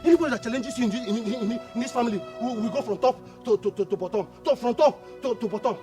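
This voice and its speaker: An actor playing a hot-headed, defiant younger brother in a Nigerian film drama, with a Nigerian accent and insistent, stammering repetitions. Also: male voice, very high-pitched, quick pace, music in the background. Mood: furious, taunting. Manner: stammering, repeats words insistently.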